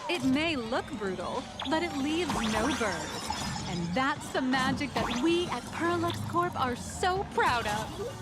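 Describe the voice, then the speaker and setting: A woman's voice: high-pitched, expressive, takes it slowly. A woman playing a peppy, theatrical corporate guide in a video game, explaining things like a showy presenter. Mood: cheerful, proud. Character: peppy female corporate guide to a robot-building experience. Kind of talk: scripted video game character acting. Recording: loud music under the voice.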